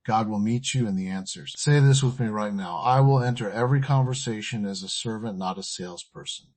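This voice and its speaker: A man's voice: on the low side, brisk, a little mellow. A man hosting a podcast, giving scripted motivational teaching in short, emphatic, earnest phrases. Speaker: podcast host.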